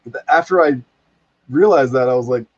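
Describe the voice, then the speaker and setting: A man's voice: medium pitch, a little fast, dry recording. A man chatting casually in a rambling way, often quoting himself as he tells an anecdote. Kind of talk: casual animated chat. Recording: dry, dull lo-fi connection.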